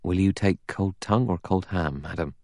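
Man playing an anxious, soft-spoken butler. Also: low voice, moderate pace, no echo, studio quality. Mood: anxious, deferential. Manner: soft, formal, servile. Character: anxious, deferential male butler.

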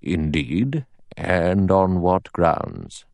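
A man voicing an elderly judge in an audiobook, cold and measured, with a thin, sour, deliberate delivery. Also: deep voice, sing-song, darkish tone, no echo, heavily acted. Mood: stern, measured. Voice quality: small, thin, clear voice. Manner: slow, deliberate, dry and sour. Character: elderly male judge, cold, measured, acid-tongued authority.

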